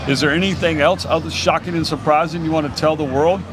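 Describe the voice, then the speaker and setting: A man's voice: fairly high pitch, fairly even intonation, fast, over music. A man hosting a casual podcast chat outdoors, talking in a rambling way with restarts and frequent laughs. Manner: rambling, stammering, laughs often. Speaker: podcast host. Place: outdoors on a busy street. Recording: street noise behind.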